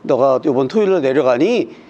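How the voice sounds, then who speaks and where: A man on a discussion panel, recounting a conflict in an earnest, measured way. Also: medium pitch, lively intonation, mellow, lo-fi sound.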